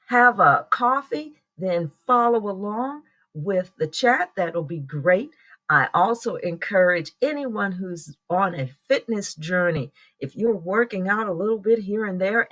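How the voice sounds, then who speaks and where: A woman's voice: mid-range voice, a bit bright. Middle-aged lady rambling on her morning livestream, chatty, laughs a lot, greets folks in chat by name. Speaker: livestream host.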